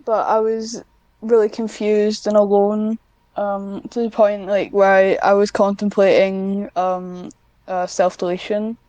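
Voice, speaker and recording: A woman's voice: mid-range voice, flat delivery, a little slow, mellow, no room sound, muffled recording. A woman chatting casually as an interview guest, informal and self-deprecating. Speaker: podcast guest, amateur script writer.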